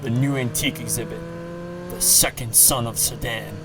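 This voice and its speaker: A man's voice: medium pitch, expressive, normal speed, music in the background, lightly acted. A man playing a stern, commanding masked crimefighter in an audio drama, terse and grave. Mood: stern resolve. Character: stern, commanding adult male caped crusader.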